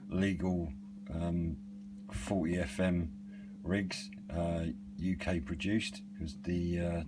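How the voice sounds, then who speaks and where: A middle-aged British man giving a casual, unscripted product demonstration in a hesitant, understated, meandering way. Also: sits low. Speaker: radio hobbyist and reviewer. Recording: clearly noisy with a steady hum.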